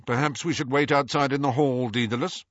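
A man voicing a terse, brisk adult witch who speaks in clipped phrases. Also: mid-range voice, fairly even intonation, no echo, muffled recording, pitched above the performer's own voice, lightly acted. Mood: hushed.

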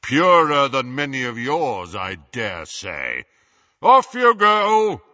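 A man doing a booming, blustering wizard official. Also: sing-song, bright, forceful tone, no room sound, lo-fi sound, heavily acted. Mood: imperious. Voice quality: deep, booming, powerful. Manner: blustering, emphatic, slow. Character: big, imposing, bullying male wizard official, bluffing authority. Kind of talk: acted audiobook dialogue.

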